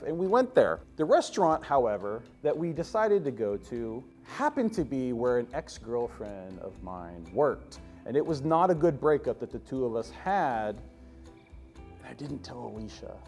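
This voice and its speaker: A man hosting a comic first-person story, told theatrically with dramatic emphasis and drawn-out words. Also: medium pitch, darkish tone. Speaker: storyteller host.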